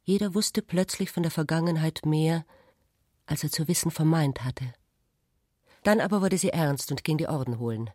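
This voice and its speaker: A man's voice: on the high side, moderate pace, darkish tone, no echo, studio quality. A man reading literary prose as a radio drama narrator.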